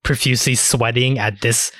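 A man's voice: medium pitch, steady tone, moderate pace, a bit bright, no room sound. A man talks casually as a podcast co-host, in a mid-range voice. He chats about games like he is among friends and swears freely. The sound is dry and close, with no room on it. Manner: casually profane.

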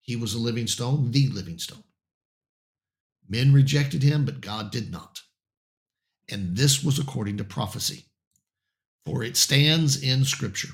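A man teaching a Bible passage verse by verse on air, slightly hoarse and raspy, with halting restarts and frequent "okay?" checks. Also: mid-range voice. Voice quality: raspy voice in the morning. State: slightly hoarse, clearing throat.

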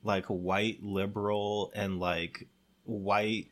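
Animated man in casual film chat. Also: lowish voice, extremely slow. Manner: animated, laughs often, acts out quotes. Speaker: podcast film commentator. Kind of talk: casual comedic film discussion.

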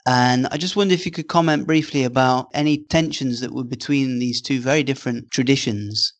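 A man interviewing a guest, asking long, measured questions that he sets up with context first. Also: mid-range voice.

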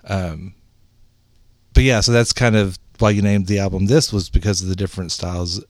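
A man hosts a relaxed interview, talking haltingly and trailing off into fillers. His voice sits on the low side. The sound is dry and close. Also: no room sound. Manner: halting, lots of fillers and restarts. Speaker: podcast host. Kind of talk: casual interview chat.

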